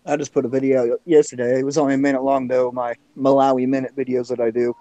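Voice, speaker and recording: Fast-talking Indiana man, dry sound. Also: medium pitch, flat delivery, mellow, no room sound, dull audio. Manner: quick, laid-back, insists he has no accent. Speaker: video call participant. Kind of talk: casual chat.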